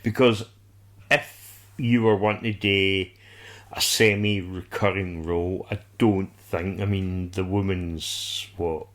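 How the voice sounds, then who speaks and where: A man with a slightly low voice chats casually as a podcast co-host. His delivery is slow and rambling, yet enthusiastic and prone to swearing. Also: steady tone, fairly bright tone. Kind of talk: casual fan discussion.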